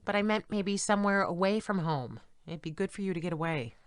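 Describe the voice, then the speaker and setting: A woman's voice: medium pitch, fairly quick, smooth, dry recording, studio quality, natural voice. An actress in an audio play playing a middle-aged single mother, a struggling writer who is fretful and self-deprecating. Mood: self-doubting.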